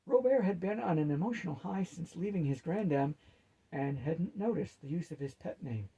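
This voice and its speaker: A man narrates a story aloud as a storyteller. His voice is very mellow and soft-edged, with a husky grain and a slightly raised pitch. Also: animated, normal speed, rough recording. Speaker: storyteller reading fiction aloud. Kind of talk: expressive audiobook-style narration with character voices.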